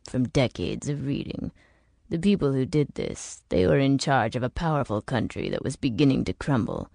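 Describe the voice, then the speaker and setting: A woman voicing a bitter, world-weary older man in an audiobook, explaining grim things in a measured, emphatic way. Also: extremely low pitch, lively intonation, gravelly, darkish tone, dry recording, studio quality, pitched below the performer's own voice, heavily acted. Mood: grave. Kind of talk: audiobook character dialogue.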